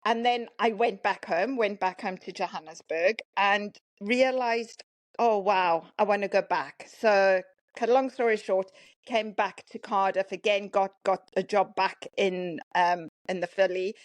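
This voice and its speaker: Fifties woman, South African accent with a Welsh twang; chatty, animated guest storyteller, dry close mic. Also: medium pitch, a little slow, no echo. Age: 50s. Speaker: podcast guest. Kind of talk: casual interview chat.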